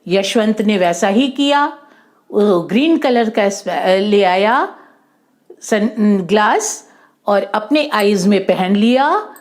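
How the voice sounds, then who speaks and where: A woman's voice: mid-range voice, animated, slow. A woman telling a moral story to children informally, in an instructive way, repeating points and mixing in English words. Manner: didactic, repeats and rephrases, mixes in English words.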